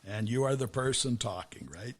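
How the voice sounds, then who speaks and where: A man's voice: medium pitch, normal speed. Man, American accent, middle-aged, podcast host, conversational, rambling questions. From United States. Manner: conversational, asks questions in rambling runs with restarts. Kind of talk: podcast interview.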